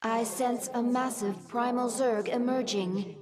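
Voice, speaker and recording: A woman voicing a dutiful alien aide in a sci-fi video game, making formal, measured battlefield announcements. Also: a little high, fairly even intonation, a crowd in the background, natural voice. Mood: dutiful, alert. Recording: game music behind.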